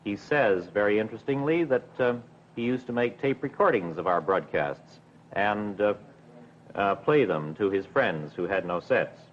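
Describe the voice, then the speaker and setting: A middle-aged man, a broadcasting official, answering in a measured, careful, formal way with some hesitation in a 1950s television interview. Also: lowish voice, animated. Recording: dull 1950s television recording with hum.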